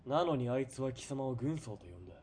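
A man playing a ruthless, greedy military police commander in a period radio drama, domineering and sneering, moving between cold murmurs and shouting. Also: mid-range voice, lively intonation, fairly quick, a little hiss or hum, close to an everyday voice. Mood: cold, calculating.

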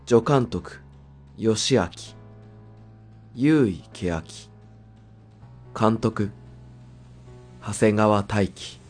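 A man reads the end credits slowly and steadily, in a low voice. His delivery is measured and announcing, with faint music under it. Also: darkish tone. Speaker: credits narrator.

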